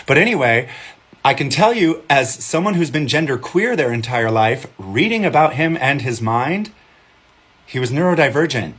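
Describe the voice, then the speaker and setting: A man's voice: medium pitch, husky. A genderqueer man, a content creator, talks to camera in a sassy, emphatic, lecturing way. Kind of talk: casual talk to camera.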